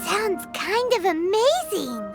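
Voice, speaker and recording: A woman voicing a small, curious, excitable childlike fairy companion in a video game, with a squeaky voice and exclaiming manner. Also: takes it slowly, vocal fry, heavily acted. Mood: awed. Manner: exclaiming, speaks of self by name. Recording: loud music behind.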